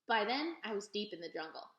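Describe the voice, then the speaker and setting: A woman reading a children's novel aloud in an expressive storytelling style. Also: medium pitch, fairly quick, dull audio. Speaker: read-aloud reader. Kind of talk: expressive children's book narration. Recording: echoey, roomy sound.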